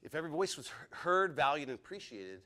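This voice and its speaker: Guy leading a class, gets excited, keeps asking the room questions. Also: fairly high pitch, fast talker. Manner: excitable, talks a lot, asks the group questions. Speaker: teacher. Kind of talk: interactive leadership lesson.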